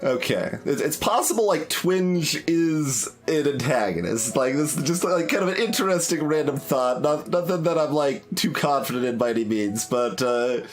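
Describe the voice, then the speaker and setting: A man's voice: fairly high pitch, expressive, normal speed, few pauses, faint music behind. A man giving casual game commentary, rambling and tentative as he thinks aloud. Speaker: let's play streamer.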